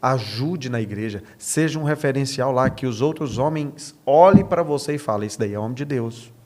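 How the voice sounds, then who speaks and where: A Brazilian man with a mid-pitched voice talks like a pastor in conversation. He is earnest and emphatic, making his points through personal stories and often checking in with short rhetorical questions. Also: moderate pace, darkish tone. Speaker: pastor, podcast guest. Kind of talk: conversational preaching with personal anecdotes.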